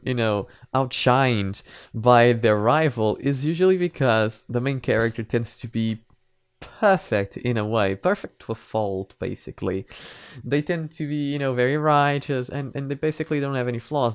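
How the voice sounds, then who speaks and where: Casual man with a clear, smooth voice. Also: medium pitch, no echo, clean studio sound. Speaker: reaction video host. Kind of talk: casual reaction commentary to camera.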